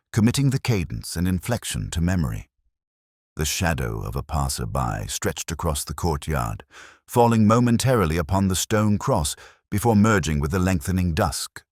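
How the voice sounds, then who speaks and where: A man reading a scripted first-person detective story in a measured, formal, literary manner. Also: very deep voice, no echo, studio quality. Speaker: narrator.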